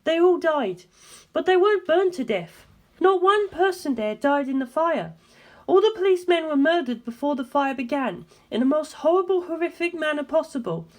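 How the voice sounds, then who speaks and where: A woman voicing an intent, earnest young woman who explains dark legends deliberately and emphatically in an audiobook. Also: sits high, expressive, voice pushed up. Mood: grave, emphatic.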